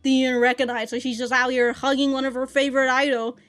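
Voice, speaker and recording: A woman's voice: high-pitched, flat delivery, fast talker, fairly bright tone. A woman giving casual, off-the-cuff reaction commentary in a slangy, profane, giggly manner. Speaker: anime reaction reviewer.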